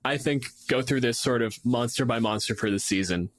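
A man's voice: medium pitch, a little fast, dry recording. Canadian man in dry, close sound. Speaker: podcast co-host and game master. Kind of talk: casual podcast discussion.

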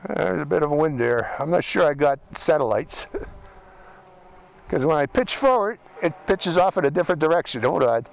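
A man's voice: on the high side, lively intonation, normal speed, creaky, rough recording. A middle-aged man with a Pittsburgh accent, giving a chatty, step-by-step product review in a casual hands-on style outdoors. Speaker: drone reviewer and channel host. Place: outdoors in a windy desert canyon. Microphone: body-worn hat camera. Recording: outdoor action-camera audio with noise.

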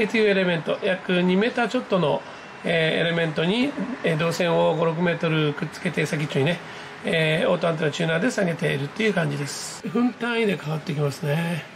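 A man giving casual, excited live commentary as a hobby vlogger, reacting aloud in exclamations as he goes. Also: fairly high pitch, gravelly, slight background noise, rough recording. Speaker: amateur radio operator hosting his own video. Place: indoors at a desk, filming a computer screen.

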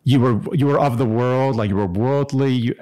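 A man's voice: mid-range voice, normal speed, dark tone. Earnest rambling man on a podcast. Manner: earnest, rambling with many likes and restarts. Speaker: podcast co-host. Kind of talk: casual chat. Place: home kitchen. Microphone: studio mic on boom arm.

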